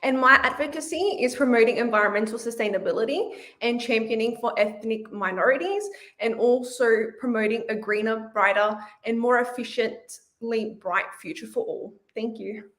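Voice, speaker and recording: A woman's voice: on the high side, normal speed, fairly bright tone. A woman from Australia giving an eager self-introduction as a pageant contestant. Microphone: video call.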